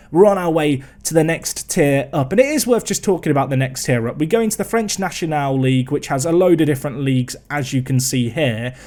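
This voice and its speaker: A young man talks through his football management save in a loose, chatty way. His voice sits a little high for a man, and he often pokes fun at himself as he goes. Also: darkish tone. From England. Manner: chatty, self-mocking, trails off and restarts. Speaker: gaming video host. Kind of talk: casual game commentary.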